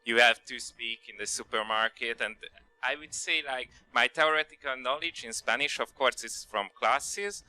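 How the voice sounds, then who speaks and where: Very bright, clear young male student. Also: mid-range voice, normal speed, dry recording, poor audio. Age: young adult. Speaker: student panelist. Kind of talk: casual spoken testimonial at a Q&A.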